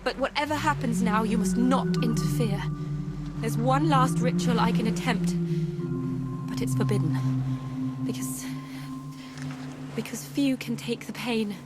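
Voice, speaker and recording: An actress playing an anxious young mystic in a game cutscene, speaking earnestly and pleading with trembling worry. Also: female voice, on the high side, a little slow, pauses often, loud music behind the voice, natural voice. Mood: desperate.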